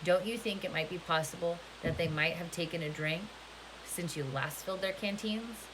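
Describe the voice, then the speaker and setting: A woman's voice: on the low side, moderate pace, noisy background, natural voice. A woman reading a storybook part as a commanding camp warden, polite and controlled but threatening. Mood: coolly polite. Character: soft-spoken, menacing, commanding woman prison-camp warden.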